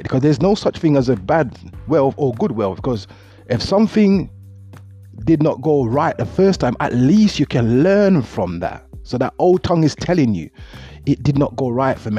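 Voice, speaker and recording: Man, mellow husky voice, faint music. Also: medium pitch. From Jamaica. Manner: earnest, repeats words, loose grammar. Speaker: motivational speaker. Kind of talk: reflective monologue. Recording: dull lo-fi audio with faint music.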